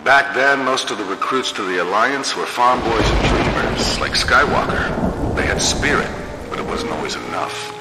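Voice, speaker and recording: An actor playing a weary, guarded, wry middle-aged squadron commander, telling war stories tersely in a sci-fi video game. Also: male voice, mid-range voice, lively intonation, moderate pace, heavy background noise, close to an everyday voice. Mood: grave, reminiscing. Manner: terse, world-weary, matter-of-fact storytelling. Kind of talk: dramatic scripted cutscene narration. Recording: echoey hall reverb, loud game music.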